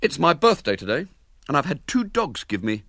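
A man voicing an indignant, boastful young boy who talks in rough, ungrammatical speech. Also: a little high, sing-song, moderate pace, bright, forceful tone, no room sound, clean studio sound, voice pushed up, heavily acted. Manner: indignant, cheeky, common speech.

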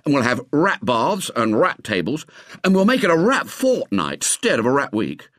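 A man's voice: medium pitch, wildly swooping pitch, moderate pace, a bit bright, dry recording, studio quality, pitched above the performer's own voice. A man voicing a headstrong, indignant English schoolboy in a storybook, with an emphatic delivery. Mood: determined.

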